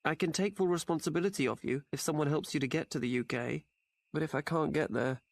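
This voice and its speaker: A man telling his story for a charity video, talking quietly and sadly. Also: a little high, fast talker, gravelly, dry recording. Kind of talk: subdued personal testimony. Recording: dry clip played from a video.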